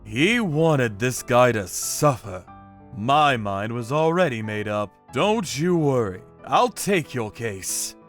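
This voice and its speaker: A man's voice: medium pitch, animated, takes it slowly, soft background music. A man voicing a grim, coolly menacing vigilante torturer, narrating his revenge work in a hard-edged, dramatic manga style. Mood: grim, resolute.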